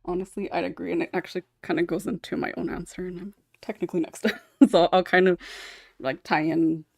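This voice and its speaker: A woman's voice: on the low side, steady tone, fairly quick, vocal fry, a little mellow, no echo, clean studio sound. A woman hosting a casual panel discussion, talking in a chatty, rambling way with frequent small chuckles. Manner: rambling, chatty, often chuckling as she talks. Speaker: podcast host and script writer.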